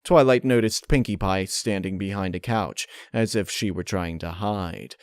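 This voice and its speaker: A man reading a dark fan fiction story as narrator, in a measured, dramatic way. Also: low-pitched, clear, resonant tone, no room sound, studio quality. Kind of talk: dark audiobook-style narration.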